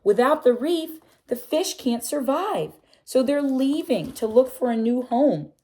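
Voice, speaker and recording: A woman voicing a calm, patient mother who is a marine biologist, speaking gently and reassuringly. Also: high-pitched, a little slow, pitched above the performer's own voice. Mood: explaining.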